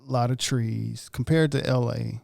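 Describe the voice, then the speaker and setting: A man from Mississippi talking in a casual chat, halting and searching for his words. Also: medium pitch, fairly even intonation, gravelly, darkish tone, no room sound, clean studio sound. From Mississippi, USA.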